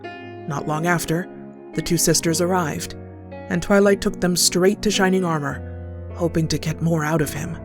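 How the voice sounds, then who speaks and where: A woman's voice: fairly low pitch, steady tone, a little slow. A woman narrating a scripted horror story as a storyteller. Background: clear background music.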